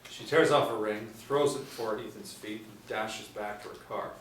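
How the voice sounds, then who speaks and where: Low-voiced male narrator, very echoey room. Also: normal speed. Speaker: stage-direction reader. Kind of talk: scripted narration. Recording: very echoey room, distant mic, faint noise.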